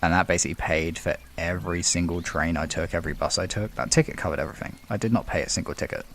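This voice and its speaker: A man's voice: sits low, fairly even intonation, quick pace, fairly bright tone, a little hiss or hum. A British man chatting casually on a livestream, replying to viewers in an informal, rambling, sweary way. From United Kingdom. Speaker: livestream host.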